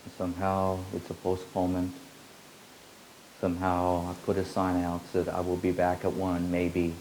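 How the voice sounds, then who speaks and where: Deep, flat-voiced man teaching slowly. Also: a little hiss or hum, rough recording. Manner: repetitive, incantatory phrasing. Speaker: spiritual teacher. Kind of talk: slow meditative spiritual teaching.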